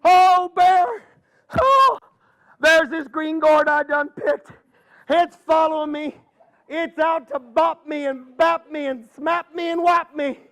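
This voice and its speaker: Man playing frantic old lady, squealing, high and slow. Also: heavily acted. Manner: squealing, breathless wails. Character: frantic little old woman, panicky.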